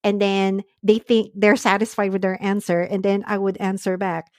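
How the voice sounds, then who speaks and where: A woman's voice: mid-range voice, little pitch movement, a little mellow, no room sound, studio quality. A woman hosting a casual podcast chat, laughing often and moving the talk along. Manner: quick, laughs often, leads the turns. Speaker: podcast host.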